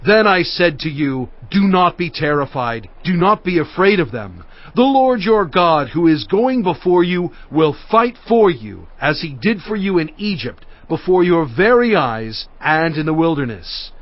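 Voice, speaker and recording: A man's voice: a little high, sing-song, unhurried, no room sound. An actor playing Moses as a fatherly, earnest leader addressing his people with oratorical weight in dramatized scripture narration. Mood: exhorting, grave. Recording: dull sound with music underneath. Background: music.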